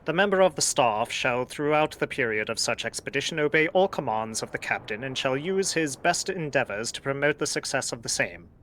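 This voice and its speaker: A fussy, formal scientist, as a man puts him on, quoting and recommending in precise, stiff phrases. The voice is bright and lively in pitch, rising and falling with prim emphasis. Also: fairly quick, hardly any pauses, a little hiss or hum, lightly acted. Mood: pedantic. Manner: formal, stiff, pedantic diction. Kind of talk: voicing a game character.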